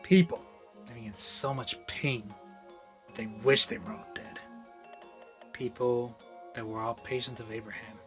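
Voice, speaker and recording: A man playing a world-weary investigator in a radio drama, speaking in a grave, deliberate, dramatic way. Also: mid-range voice, fairly even intonation, a bit bright, soft background music, close to an everyday voice.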